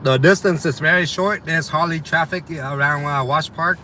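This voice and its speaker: A young man with a slight Vietnamese accent talks in a loose, rambling way to live viewers, with plenty of ums and uhs. His voice is bright and forward. Faint city and traffic sounds hang in the background. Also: a little high, normal speed. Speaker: delivery driver streaming to viewers. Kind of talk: casual live chat reading viewer comments.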